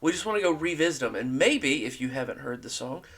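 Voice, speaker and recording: A man's voice: medium pitch, animated, fast talker, fairly bright tone, breathy edge. A man hosting a music reaction channel, talking casually to viewers in a rambling way and repeating points for emphasis.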